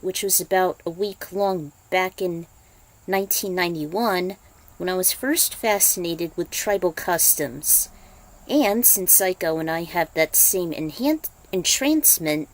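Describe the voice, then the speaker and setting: A woman's voice: mid-range voice, poor audio. A woman with a mid-range voice presents her own fan fiction. She speaks as both writer and reader, in a casual storytelling style. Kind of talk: casual introduction, then story narration.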